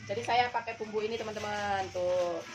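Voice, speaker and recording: A woman's voice: mid-range voice, fairly even intonation, brisk. A woman in her thirties with a nasal, stuffed-up voice from a cold, hosting a casual home cooking vlog and chatting to her viewers as friends. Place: home kitchen. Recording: noisy home kitchen recording.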